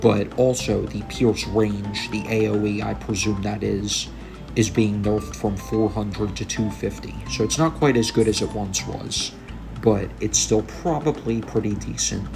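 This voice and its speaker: A young man walks through game balance changes in a slow, low, gravelly voice, sounding tired and like he is coming down with a cold. His delivery stays flat and hesitant, full of ums. Game music plays clearly under his voice. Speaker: gaming YouTuber. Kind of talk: gameplay commentary on patch notes.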